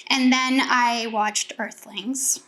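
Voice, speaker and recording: A woman with a notably high voice shares a personal story on a casual panel talk show. She tells it in a lively way, slipping into the voices of the people she quotes. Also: slow pace, low-quality recording. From United States (born in Bulgaria, raised in Seattle). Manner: animated storytelling, acts out quoted dialogue. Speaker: co-host.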